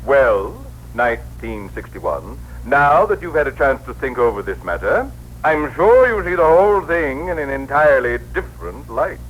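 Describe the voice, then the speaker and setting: Man playing a pompous, exasperated bureau director, animated. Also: mid-range voice, normal speed, close to an everyday voice. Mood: officious. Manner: pompous, clipped formal diction. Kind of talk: 1960s radio drama acting. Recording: noisy vintage radio broadcast recording.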